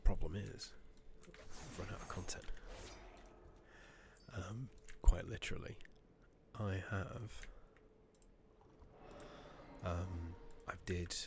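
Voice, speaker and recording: A man speaking softly and often whispering close to the microphone, giving gentle ASMR commentary on a game he is playing. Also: sits low, slow pace, pauses often. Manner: hushed, gentle, drifting between murmur and whisper. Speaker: ASMR gaming streamer. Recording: steady background noise, keyboard clicks.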